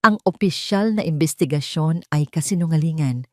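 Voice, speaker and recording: Lady doing a guilty heartbroken guy in an audio drama, grave n halting, dry close sound. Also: low voice, mellow, dry recording, close to an everyday voice. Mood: bitter. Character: grieving, guilt-ridden man confessing a vengeful past.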